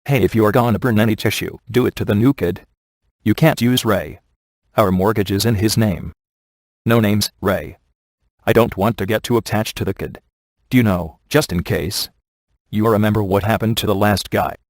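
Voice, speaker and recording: An unsentimental male ghost hunter from a video game, played by a man, with a fairly low voice. He is offhand, deadpan and self-serving, captured in a very dry recording. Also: moderate pace, no room sound, lightly acted. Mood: dry, wary.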